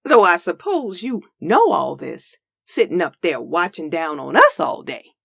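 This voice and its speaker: A woman's voice: lowish voice, very animated, unhurried, gravelly, a bit bright, no echo, clean studio sound, exaggerated voice. A woman voicing a devout, loving, feisty old grandmother in a comic dub, speaking in a folksy dialect. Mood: wistful, tender. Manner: folksy Southern-style dialect, drawn out.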